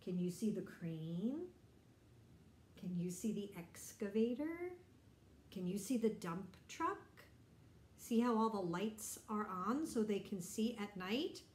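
A middle-aged woman reads a children's story in a lively, swooping voice. She pauses to explain things and put simple questions to young listeners. The room adds a noticeable echo. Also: medium pitch. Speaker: teacher reading a picture book aloud to children. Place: bright indoor room.

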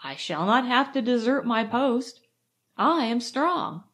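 A woman's voice: on the high side, expressive, slow, a bit bright. A woman reading aloud, voicing a proud, fussy mother who insists she is strong and in charge of her household. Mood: self-assured. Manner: insistent, self-assured.

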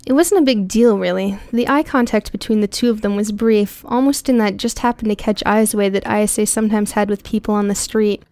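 A woman reading a prose story aloud in an even, clear storytelling voice, recorded close on a studio mic. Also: medium pitch, brisk, few pauses, no room sound. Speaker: audiobook narrator. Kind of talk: scripted narration.

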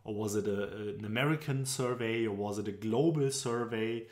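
A man's voice: lowish voice, moderate pace, a little mellow. A young man from Germany with a German accent, explaining business presentation charts in a loose, tutorial style with frequent fillers, recorded on a webcam at his desk. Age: young adult. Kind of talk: screen-recorded tutorial narration. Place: indoor room at home. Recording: echoey room.

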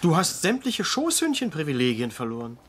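An actor playing a sarcastic, bossy senior doctor with a biting manner in a German-dubbed TV comedy-drama. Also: male voice, fairly high pitch, wildly swooping pitch, brisk, a bit bright, slightly rough, heavily acted. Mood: mocking, scolding. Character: gruff, sarcastic male senior doctor, mocking bully.

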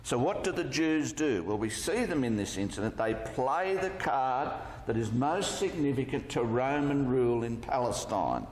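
A man preaching a sermon, deliberate and emphatic, posing rhetorical questions into a lectern microphone. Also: medium pitch, big pitch swings, slight background noise. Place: church hall, at a lectern. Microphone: gooseneck lectern microphone. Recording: echoey church hall with long reverb.

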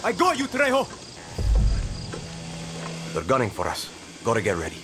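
A man's voice: mid-range voice, huge pitch swings, moderate pace, loud music behind the voice. A young man playing a cheeky, eager guerrilla fighter in a video game, speaking in a casual, wry way. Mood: determined. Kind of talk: video game character dialogue.

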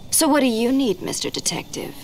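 An actress playing a sly, flirtatious cat burglar in a video game, with a sultry, sardonic delivery. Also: female voice, sits high, animated, fairly quick. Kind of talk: video game character acting. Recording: loud music behind.

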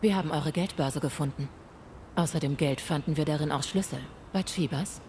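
An actress playing a composed, stern female ghost hunter in a video game, speaking formally, measured and direct. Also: low-pitched, darkish tone, close to an everyday voice. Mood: calm, probing. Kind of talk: video game dialogue acting. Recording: faint ambient noise.

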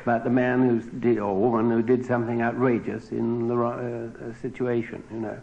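A man's voice: medium pitch, little pitch movement, fairly quick, vocal fry. A man in unscripted radio talk, hesitant and fumbling for his words, on a muffled recording. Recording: dull, faintly noisy radio recording.

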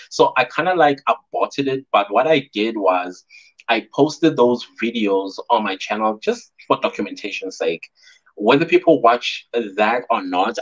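A South African man in his thirties hosts a casual live stream from a small room at home. He is chatty and animated, breaks into laughter often, and talks at an ordinary mid pitch. The stream audio sounds a bit dull and lo-fi. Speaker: YouTuber hosting a live stream.

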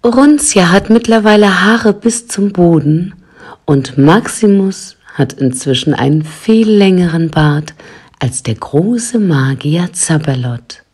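Low, husky, unhurried female narrator. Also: lively intonation, darkish tone. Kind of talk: scripted storybook narration.

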